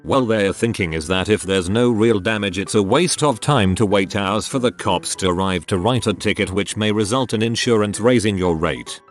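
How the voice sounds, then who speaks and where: Low-voiced man reading forum stories. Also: moderate pace, few pauses, faint music behind. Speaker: narrator.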